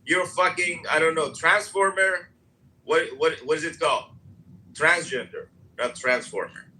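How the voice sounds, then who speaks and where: A man's voice: a little high, normal speed, sharp tone, low-quality recording. A man in a live audio chat room, angry and confrontational, swearing at other speakers. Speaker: room participant.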